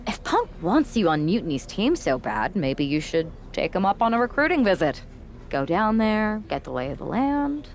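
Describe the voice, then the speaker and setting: A woman's voice: medium pitch, big pitch swings, normal speed. A woman playing a shrewd, scheming wrestling team recruiter who talks persuasively in a video game cutscene.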